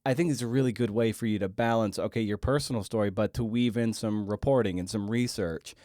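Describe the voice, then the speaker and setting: A man hosting a podcast, chatting casually and circling haltingly toward his questions. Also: lowish voice, warm tone, no room sound, studio quality. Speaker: podcast host, interviewer. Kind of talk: casual conversational interviewing.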